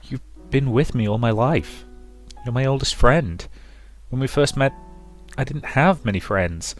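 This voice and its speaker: A man voicing an insecure, affectionate, tipsy young woman who speaks in a halting, earnest, confiding way in a visual novel. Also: mid-range voice, faint music behind, close to an everyday voice. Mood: sentimental.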